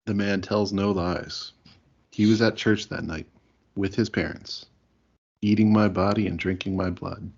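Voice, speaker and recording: A man playing a solemn, deadpan divine savior with a gravelly, husky voice in an amateur comedy audio play. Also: sits low, unhurried, heavily acted. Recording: dull lo-fi home recording.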